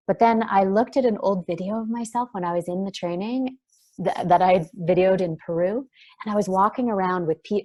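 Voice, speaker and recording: A woman with a soft voice, talking in a gentle, searching way as an interview guest, with many fillers and restarts. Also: fairly low pitch. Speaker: guest, breathwork trainer. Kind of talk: reflective interview talk.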